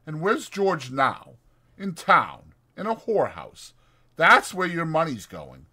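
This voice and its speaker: A man voicing a bitter, proud, cynical stable hand with blunt, rough rural speech for a dramatized audiobook. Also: a little high, wildly swooping pitch, slow, sharp tone, pitched above the performer's own voice, exaggerated voice. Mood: brutal, scornful.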